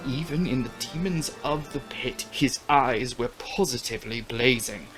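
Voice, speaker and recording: A man giving a theatrical, intense and often breathy dramatic reading of gothic fiction as an audiobook narrator. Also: mid-range voice, normal speed, fairly bright tone. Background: music.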